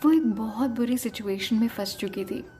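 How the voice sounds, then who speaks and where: A woman's voice: fairly high pitch, expressive, moderate pace, mellow, soft background music. A woman narrating an emotional audio story as a storyteller. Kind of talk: dramatic story narration with acted dialogue.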